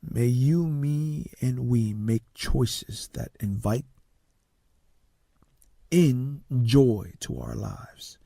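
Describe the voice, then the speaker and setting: A man who grew up in Tonga, a native Tongan speaker, hosting a talk show as a success coach, delivering an emphatic motivational monologue with dramatic pauses and soft, hushed phrases. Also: mid-range voice, animated, creaky, no echo, studio quality. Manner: slow, emphatic, dramatic pauses, drops to soft near-whispers.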